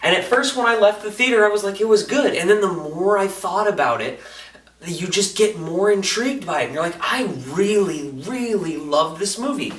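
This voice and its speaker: A roomy, echoing recording of a man with a slightly high voice, a little way from the microphone. He reviews films casually and enthusiastically, in a colloquial, animated way, slipping into acted-out reactions as he goes. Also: normal speed. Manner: animated, colloquial, acts out reactions in quoted asides. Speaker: film reviewer. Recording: roomy echo, distant microphone.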